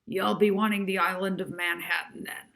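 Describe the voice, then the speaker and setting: A woman voicing a brisk, helpful female taxi rank attendant with a Brooklyn accent in a storybook reading. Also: on the low side, steady tone, gravelly, a bit bright.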